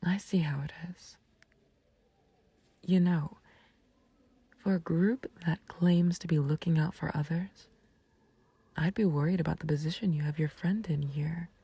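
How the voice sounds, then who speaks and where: A woman playing a calm, taunting villain in an intimate roleplay audio, speaking in a breathy near-whisper with unhurried condescension and mock sympathy. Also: deep voice, dry recording, full character voice. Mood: mocking disdain. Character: calm, taunting female villain, menacing yet fond of a friend. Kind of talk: scripted roleplay audio acting, intimate ASMR.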